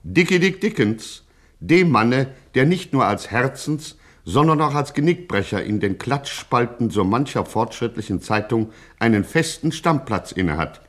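An actor playing a grandiloquent narrator of a gangster satire, speaking in a pompous, mock-solemn way. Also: male voice, mid-range voice, big pitch swings, normal speed. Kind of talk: scripted satirical radio narration.

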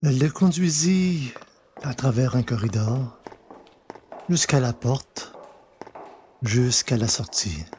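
A man narrating a literary story in French audio fiction, deliberately, pausing between short phrases. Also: medium pitch, darkish tone, a little hiss or hum.

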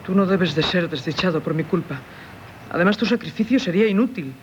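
A woman's voice: on the low side, fairly quick, lightly acted. Radio drama, Castilian Spanish: actress as anguished married woman, grave confessional delivery, faint noise on a dull old recording. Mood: anguished, pleading. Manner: solemn, confessional, theatrical delivery. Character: tormented married woman haunted by a former lover. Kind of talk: 1970s radio theatre acting.

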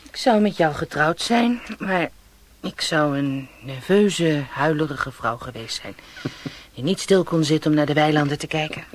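A woman playing a brisk police inspector in a naturalistic radio drama, matter-of-fact and conversational. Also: deep voice. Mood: wry, chatty. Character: brisk female police inspector, married, living in countryside.

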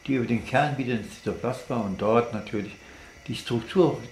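A man explaining science off the cuff, halting and deliberate, as if thinking aloud. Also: mid-range voice. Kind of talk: unscripted explanatory monologue. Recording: echoey room with background noise.

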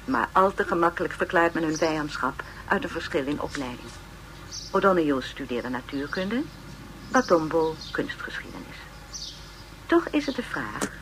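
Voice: mid-range voice, sing-song, fairly bright tone, slight background noise. An actor in a radio play playing a pompous, erudite lecturer narrating an educational film in formal phrasing. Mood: pompous, lecturing. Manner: measured phrasing, pompous, donnish.

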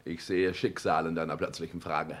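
An actor playing a pompous, self-important husband in a 1960s German radio play, declaiming and lecturing with affected French phrases. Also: male voice, low voice, level tone, normal speed, lightly acted. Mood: indignant, dramatic.

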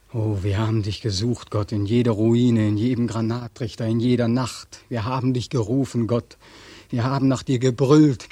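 Casting: despairing young ex-soldier, mellow husky voice, anguished and sardonic, for vintage radio drama in a dry studio. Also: male voice, lowish voice, no room sound. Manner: anguished, accusing, sardonic, rhetorical repetition. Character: bitter, despairing young war veteran, dying in the street. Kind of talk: 1940s German radio drama acting.

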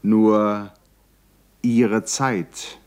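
A man narrating a literary radio play in a measured, dramatic manner with deliberate pauses. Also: lowish voice, flat delivery, darkish tone, clean tone.

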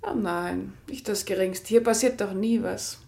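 A woman's voice: medium pitch, a little slow, creaky, a little mellow. An actress playing a chatty, obliging married country lady in a German radio play, effusive and polite. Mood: eager, chatty.

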